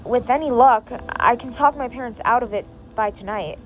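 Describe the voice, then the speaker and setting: A woman's voice: a little high, normal speed, dull audio, slight background noise, lightly acted. Woman reading as a snappy teen boy. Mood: annoyed. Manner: snappy, read in the reader's own voice. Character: image-conscious teen boy, bossy and fretful.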